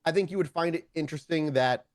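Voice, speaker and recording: A man's voice: a little high, fast, no echo, clean studio sound. A man running a tabletop game as storyteller, narrating scenes off the cuff in a wordy way and often rephrasing mid-sentence.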